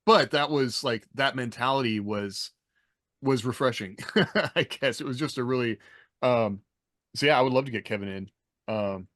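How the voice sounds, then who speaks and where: An American man, a podcast co-host, chatting casually and haltingly, often circling back over his phrases. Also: mid-range voice, a bit bright, dry recording, clean studio sound. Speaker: podcast co-host, former army officer. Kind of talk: casual chat.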